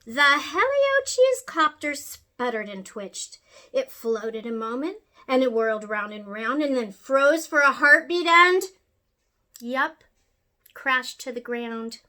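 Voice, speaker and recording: A woman reading a rhyming children's picture book aloud in an expressive, sing-song way. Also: high voice, takes it slowly. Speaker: storyteller.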